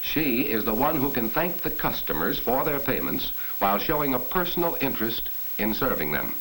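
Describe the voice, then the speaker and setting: A man's voice: mid-range voice, very animated, normal speed, fairly bright tone, poor audio. A man narrating an instructional film in earnest 1940s style, addressing the viewer directly as he teaches. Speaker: narrator of a corporate training film. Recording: hissy 1940s film soundtrack.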